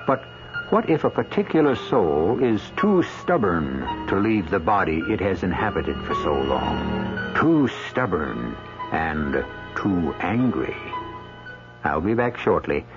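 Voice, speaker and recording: A man hosting a radio mystery drama, narrating in a deliberate, ominous, wry way. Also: low-pitched, very animated. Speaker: host and narrator. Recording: muffled radio recording, music behind.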